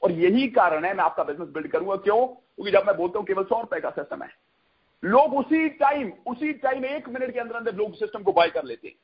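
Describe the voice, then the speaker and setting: A man gives an emphatic, repetitive sales pitch on a conference call, mixing in English phrases and asking questions that he answers himself. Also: lively intonation, fairly quick. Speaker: network marketing promoter hosting a conference call. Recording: dull, lo-fi conference call audio.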